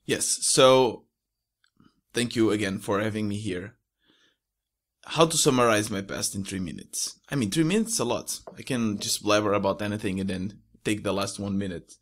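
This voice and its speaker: A man's voice: on the low side, normal speed. A young man with a Portuguese accent, talking casually as a podcast guest into a close microphone at home. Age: young adult. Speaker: podcast guest, indie entrepreneur. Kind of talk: casual interview chat. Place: small room at home. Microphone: close studio mic with pop filter.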